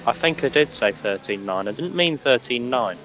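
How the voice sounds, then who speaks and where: Male league commentator, teasing and chatty, mid-pitched, with game music clearly playing underneath. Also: animated, poor audio. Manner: teasing, chatty, quick-witted. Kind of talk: casual banter over online race stream.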